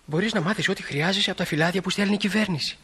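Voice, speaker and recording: A boyish, fairly high male voice with a soft husk. He is an eager small-town teenager in a radio play, sincere, impulsive and insistent in his delivery. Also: slightly rough, heavily acted. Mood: eager, resolute. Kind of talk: stage drama acting for broadcast.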